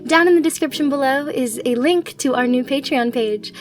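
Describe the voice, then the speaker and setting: A woman speaking as a channel host, giving a shout-out to her listeners. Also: high-pitched, normal speed.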